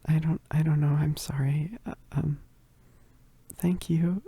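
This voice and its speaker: A shy, easily flustered young female werewolf, acted by a woman in an intimate ASMR roleplay. She speaks low and slowly in a very dark, soft, breathy voice, stammering and often slipping into whispers right by a dry, close microphone. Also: fairly even intonation, dry recording, heavily acted. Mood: surprised, apologetic. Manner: halting, stammering, bashful, often dropping into whispers.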